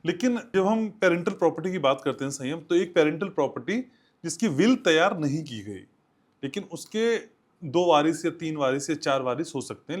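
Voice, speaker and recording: A man hosting a podcast interview, putting long, detailed questions to a guest. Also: fairly high pitch. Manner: frequent short acknowledgements, long detailed questions. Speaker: podcast host.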